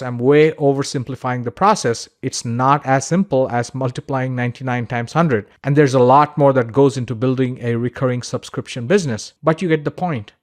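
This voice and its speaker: A man narrating a scripted online course as its instructor, in a conversational, emphatic, instructional way. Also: mid-range voice.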